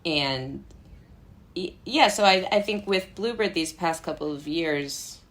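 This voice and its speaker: Low-voiced young American woman, chatty. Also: takes it slowly. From Brooklyn, United States. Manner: animated, giggly, rambling storytelling with frequent "like". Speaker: interview guest, playwright and actor. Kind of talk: casual chat.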